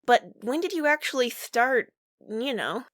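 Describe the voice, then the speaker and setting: A woman voicing a gentle, teasing, lovestruck young alien troll with a plain-spoken manner. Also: fairly high pitch, expressive, a little slow, no echo, studio quality. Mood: tender teasing.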